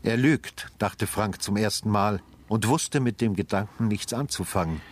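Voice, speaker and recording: A man's voice: fairly low pitch, normal speed, slight background noise, natural voice. A male actor as the sober, reflective narrator of a crime radio drama, reading literary third-person prose. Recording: loud music under the voice. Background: music.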